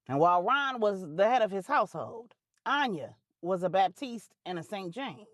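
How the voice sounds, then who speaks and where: A woman's voice: medium pitch, lively intonation, moderate pace, no echo. A woman narrating a scripted drama story for adults in a dramatic, colloquial storytelling manner with slangy touches.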